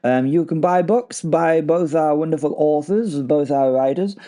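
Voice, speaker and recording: A man's voice: fairly high pitch, unhurried, mellow, natural voice. A man playing a flustered, bumbling, polite duke, stammering and apologetic as he introduces a podcast drama. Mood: flustered, chatty. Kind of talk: rambling in-character podcast introduction.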